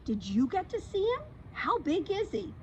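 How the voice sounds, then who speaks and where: An eager young storybook boy, played by a woman, always curious and asking. The voice is high and very lively. It sits in a slightly dull recording. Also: moderate pace, a little hiss or hum. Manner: eager questioning.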